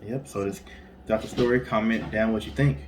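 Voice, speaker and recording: Male co-host, lowish pitch, informal storyteller, conversational delivery, light background noise. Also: fairly even intonation, a little fast. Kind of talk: casual storytelling.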